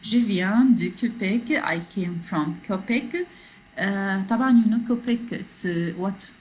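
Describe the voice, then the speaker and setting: A woman teaching French in an online class, reading a text aloud and explaining it slowly, switching between French and English. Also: mid-range voice, a little mellow, a little hiss or hum, poor audio. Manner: switches between French and English, slow, repeating phrases for learners. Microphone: computer mic in a video class.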